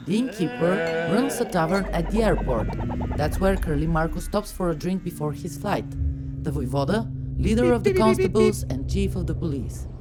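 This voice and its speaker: Low-voiced woman narrator over loud music. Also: sing-song, normal speed. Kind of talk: scripted narration introducing story characters.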